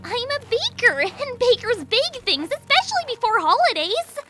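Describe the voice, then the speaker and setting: A woman voicing a bubbly, hyperactive pink female party pony in a fan audio drama, chattering excitedly. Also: very high-pitched, normal speed, soft background music, heavily acted.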